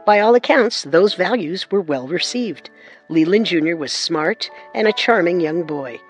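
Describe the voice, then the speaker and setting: A woman co-hosting a historical radio drama, giving measured, scripted documentary narration. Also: lowish voice, expressive, soft background music. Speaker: narrator and co-host.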